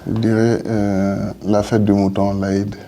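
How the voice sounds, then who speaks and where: A man's voice: on the low side, level tone, slow, warm tone, soft background music. A man from Senegal who works at a college, answering interview questions in a thoughtful, self-deprecating way. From Senegal, West Africa. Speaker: college staff member.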